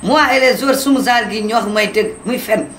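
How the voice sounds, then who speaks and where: An actor voicing an excitable, loudmouthed schemer in a cartoon, with a Senegalese accent and an exclamatory, scolding delivery. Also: mid-range voice, normal speed, close to an everyday voice. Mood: scolding, indignant. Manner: exclamatory, scolding, switching between two languages. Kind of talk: animated cartoon voice acting in comedy. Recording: roomy, echoing, with background noise.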